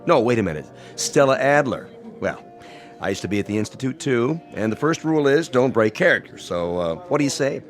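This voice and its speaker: A man's voice: mid-range voice, expressive, fairly quick, soft background music, natural voice. An actor playing a wry, impatient male television director in a radio drama, sardonic, coaxing and bossy.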